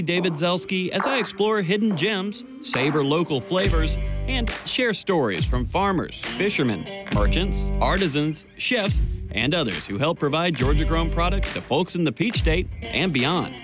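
A male podcast host reads a scripted promo. His fairly high voice rises and falls in an animated way over clear guitar music. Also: normal speed.

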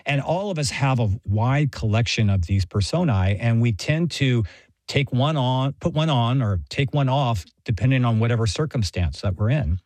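A man's voice: mid-range voice, expressive, moderate pace, a little mellow, no room sound. A middle-aged American man speaking as an interview guest, explaining ideas conversationally in a thoughtful, professorial way. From Texas, United States. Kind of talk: conversational explanation and personal anecdote.

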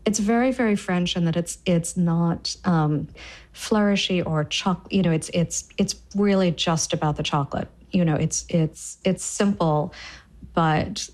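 A woman's voice: on the low side, unhurried, a little mellow. A woman cookbook author talking enthusiastically about a recipe in an interview. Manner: enthusiastic, repeats words while thinking. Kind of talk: casual interview.

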